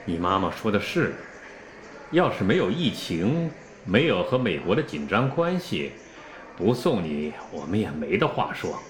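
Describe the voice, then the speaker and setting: An actor playing a concerned, resigned middle-aged father in a radio drama, speaking in a measured, earnest way. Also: fairly low pitch, sing-song. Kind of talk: Chinese radio drama dialogue. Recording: echoey, roomy sound.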